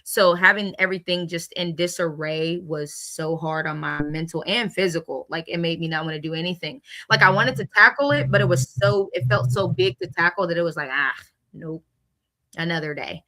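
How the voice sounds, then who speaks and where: An American woman in a casual chat, reflecting on her own life in a candid, expressive and emphatic way. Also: lowish voice, moderate pace, low-quality recording. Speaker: co-host.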